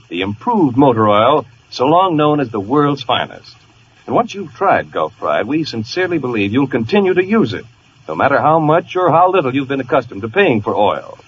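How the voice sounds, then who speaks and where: An American male announcer delivers a 1940s radio commercial. His voice is medium-pitched and husky, with animated intonation, on a dull old recording. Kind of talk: 1940s radio advertising read.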